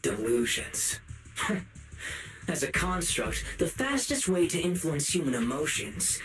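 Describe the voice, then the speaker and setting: A man's voice: on the high side, very animated, takes it slowly, a bit bright, faint music behind, full character voice, low-quality recording. A man playing a sinister, taunting video game sprite who poses as a caring advisor, theatrical and falsely sympathetic. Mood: scornful mocking. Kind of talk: dramatic video game voice acting.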